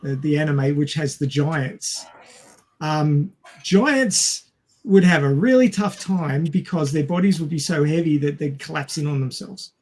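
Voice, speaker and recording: A man's voice: fairly high pitch, lively intonation, a little slow, a little mellow. A man co-hosting a podcast, explaining science informally in a didactic manner. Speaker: podcast co-host.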